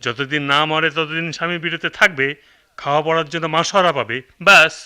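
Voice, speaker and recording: Sharp, greedy, blustering older brother. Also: male voice, a little high, fairly quick, clear, resonant tone. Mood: boastful, scheming. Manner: blustering, scornful, boastful. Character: greedy, callous, ambitious older brother and husband. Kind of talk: radio drama acting.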